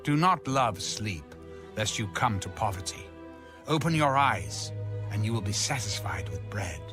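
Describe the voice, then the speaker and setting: Slow, expressive male scripture reader over music. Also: lowish voice. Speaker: Bible reader. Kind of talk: solemn scripted scripture reading.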